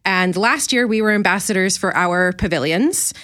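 A woman's voice: medium pitch, normal speed, bright, forceful tone. A young adult woman, a teacher, earnestly explaining things at length as a guest on a radio show. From Ukrainian community in Winnipeg. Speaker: festival ambassador and teacher.

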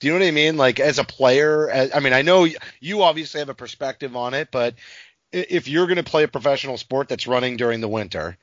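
A man's voice: mid-range voice, fast, fairly bright tone, no echo. A man co-hosting a casual sports podcast, talking conversationally with the others. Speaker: podcast co-host. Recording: slightly dull, dry podcast audio.

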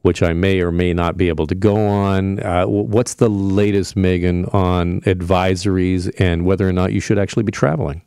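A man's voice: deep voice, fairly even intonation, moderate pace, hardly any pauses, dark tone, smooth, no echo, clean studio sound. A man hosting a live news panel, asking questions in a conversational, informal way. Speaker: news host.

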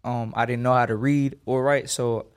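Young streetwise boxer from Los Angeles. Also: male voice, mid-range voice, steady tone, moderate pace, smooth. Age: 20s. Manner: streetwise slang, emphatic. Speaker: boxer guest. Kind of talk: casual interview.

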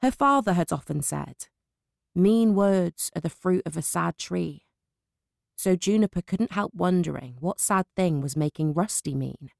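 A British woman narrating a children's audiobook in a warm, distinctive voice, with lively, engaging storytelling. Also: on the low side, unhurried, gravelly, no echo, studio quality.

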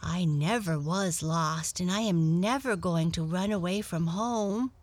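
A woman's voice: lowish voice, a little slow, dry recording. A woman voicing a friendly, innocent young rabbit in a children's storybook, chirpy, eager and talkative. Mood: earnest.

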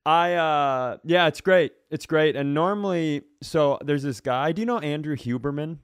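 A man's voice: fairly high pitch, moderate pace, clear, resonant tone, no echo, studio quality. A man co-hosting a podcast, telling casual comic stories in a wry, rambling way. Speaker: podcast co-host. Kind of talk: casual comedic storytelling.

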